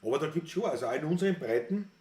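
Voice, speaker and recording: A man's voice: fairly high pitch, lively intonation, very fast, a little mellow, roomy sound, slight background noise. A man with a Viennese accent hosting a music livestream, chatting in a rambling, opinionated way and reading viewer comments aloud. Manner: rambling and opinionated, circling back on his words.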